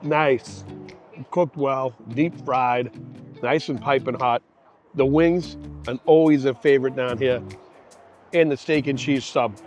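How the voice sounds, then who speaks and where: A man's voice: mid-range voice, fairly even intonation, takes it slowly, long pauses, darkish tone, faint music behind. A man with a Boston accent hosting a casual travel and food vlog, chatting in a rambling, enthusiastic way. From Boston area. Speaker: travel show host.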